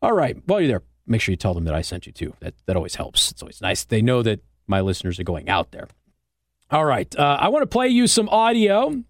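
An American man delivers a talk radio monologue with very lively, swinging intonation. His manner is conversational and sardonic, and the sound is dry and close on a studio mic. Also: high voice, no room sound. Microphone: close studio microphone.

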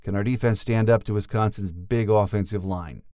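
A man's voice: on the low side, fairly even intonation, normal speed, warm tone, no echo, studio quality. A man hosting a sports podcast, reading a scripted introduction and putting questions to a guest. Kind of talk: scripted show introduction and interview.

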